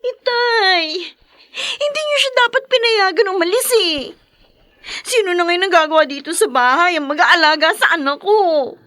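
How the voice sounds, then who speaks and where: A very high, animated voice of a petulant young Filipino mother, acted by a woman. She sounds selfish and whiny, with a bright radio drama delivery. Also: moderate pace, exaggerated voice. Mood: annoyed.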